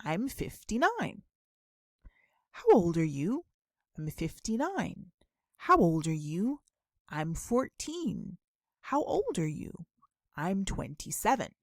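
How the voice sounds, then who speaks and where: A woman teaching a beginner English lesson, deliberately enunciating and repeating model questions and answers in an instructional way. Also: medium pitch, sing-song, dry recording, clean studio sound.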